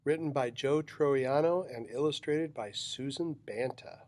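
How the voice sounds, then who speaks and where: A man's voice: mid-range voice, measured pace, darkish tone. A man reading a children's picture book aloud with dramatic, rhythmic delivery of rhyming verse. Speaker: storybook reader.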